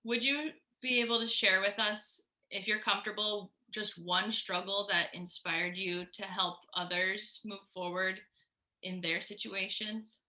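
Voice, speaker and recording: A woman's voice: mid-range voice, fairly even intonation, measured pace, bright, forceful tone, rough recording. A woman hosting a conversational interview in a friendly, attentive manner. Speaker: podcast host, interviewer.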